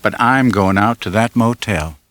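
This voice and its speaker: A man's voice: on the low side, moderate pace, a bit bright, no room sound, pitched above the performer's own voice, close to an everyday voice. A man voicing an anxious but determined young woman in an audiobook, earnest and polite.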